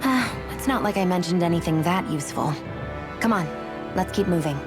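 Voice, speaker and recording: An actress voices a prickly, knowing young woman in a video game. Her voice is on the low side and her delivery is dry and guarded. Music plays clearly underneath. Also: lively intonation, brisk, close to an everyday voice. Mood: matter-of-fact.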